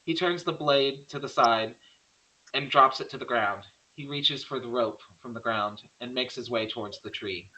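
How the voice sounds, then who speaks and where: A man narrates a horror screenplay, reading scene headings and action plainly. His voice is medium in pitch with a bright, forceful edge. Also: moderate pace, rough recording. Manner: plain stage-direction reading. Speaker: script narrator.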